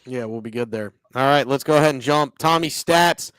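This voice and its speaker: A man's voice: mid-range voice, brisk, fairly bright tone, clean tone, no room sound, rough recording. A man hosting a sports betting podcast, easygoingly moving through the segments and reading out picks. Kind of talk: casual betting picks talk.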